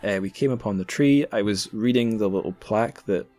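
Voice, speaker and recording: Guy with a lowish voice chatting on a podcast, telling little stories. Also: fairly quick, dark tone, no room sound, faint music behind. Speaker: podcast host. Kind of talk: casual chat, telling anecdotes.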